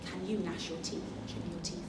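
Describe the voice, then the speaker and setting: A woman reading a children's picture book aloud at story time as a librarian, animated and expressive, pausing for asides and questions to young listeners. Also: on the low side. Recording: echoey room, distant mic, faint noise.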